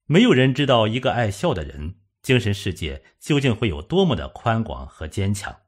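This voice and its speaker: A man narrating a suspense novel as an audiobook, in a measured, dramatic storytelling manner. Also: lowish voice, very animated, slightly rough.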